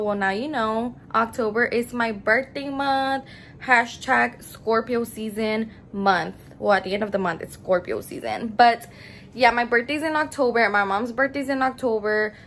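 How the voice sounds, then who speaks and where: Chatty woman in her early 20s, vlogs to a phone held at arm's length, fairly high voice with a bit of background noise. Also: fairly bright tone. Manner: bubbly, chatty, addresses viewers as y'all. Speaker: vlogger. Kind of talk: casual chat to camera. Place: home bedroom, then car and stores. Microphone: phone camera at arm's length.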